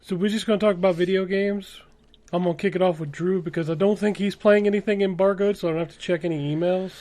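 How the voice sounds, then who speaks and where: A man's voice: high voice, fairly even intonation, fast talker, a little mellow. A man in his forties hosting a podcast with casual, blunt, sweary banter. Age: 40s. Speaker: podcast host.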